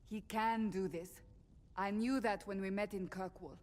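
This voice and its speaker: A woman's voice: medium pitch, fairly even intonation, unhurried, darkish tone, natural voice. A woman playing a stern, forthright and principled warrior in a fantasy video game, speaking bluntly and formally. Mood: earnest. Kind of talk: dramatic video game dialogue. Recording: reverberant hall.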